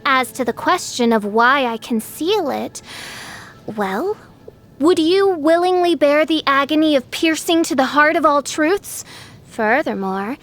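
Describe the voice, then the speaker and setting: A woman's voice: high-pitched, expressive, unhurried, a bit bright. A woman voicing a grandiose, theatrical teenage girl who styles herself a princess, speaking in pompous archaic phrases as a video game character. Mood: grandiose, solemn. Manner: pompous, archaic, dramatic royal speech.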